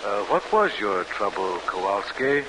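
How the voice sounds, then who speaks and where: An actor playing a measured, quietly scheming prisoner machinist in 1940s radio drama style. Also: male voice, fairly low pitch, big pitch swings. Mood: conspiratorial. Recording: noisy vintage radio broadcast recording.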